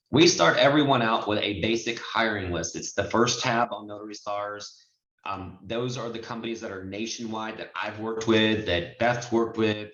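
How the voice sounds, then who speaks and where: A man's voice: lowish voice, a bit bright. Man teaching online, muffled roomy sound. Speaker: notary trainer and host. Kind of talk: informal online training explanation. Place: indoors, online video call with screen share. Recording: muffled, roomy video-call audio.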